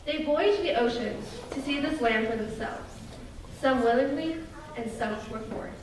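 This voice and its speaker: A young woman from Russia delivers a prepared speech to an audience in an earnest, measured way. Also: sits high. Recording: echoey hall from far off, noisy.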